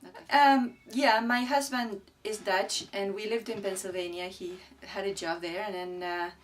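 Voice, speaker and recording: Woman, Spanish speaker, halting and reflective, somewhat far from the mic, faint background noise. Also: mid-range voice, steady tone. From Argentina. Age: middle-aged. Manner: thoughtful, halting, searching for words. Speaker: artist being interviewed. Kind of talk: reflective interview talk about own work. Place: indoors beside a displayed print.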